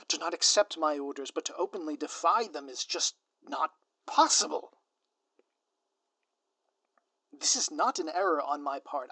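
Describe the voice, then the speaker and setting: A man's voice: high voice, fairly bright tone, no echo, heavily acted. A man playing a grandiose, scheming supervillain in an audio roleplay, with florid, pompous, melodramatic delivery. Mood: incredulous, exasperated. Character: grandiose theatrical male supervillain, lovesick and scheming. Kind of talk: scripted audio roleplay monologue.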